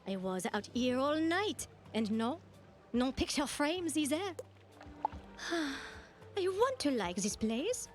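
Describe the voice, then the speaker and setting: A woman's voice: high-pitched, very animated, takes it slowly, pauses often, soft background music, heavily acted. A woman playing a weary, complaining French-accented resident of a fantasy world in a video game. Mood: exasperated.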